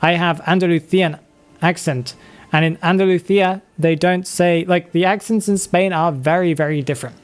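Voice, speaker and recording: A man's voice: on the high side, normal speed, faint music behind. A man in his twenties chatting casually on a live game stream, reading chat and laughing often. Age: 20s.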